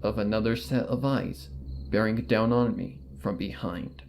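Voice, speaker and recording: A man's voice: lowish voice, unhurried, creaky, a little mellow, a little hiss or hum. Man reading a story in first person. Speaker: story narrator.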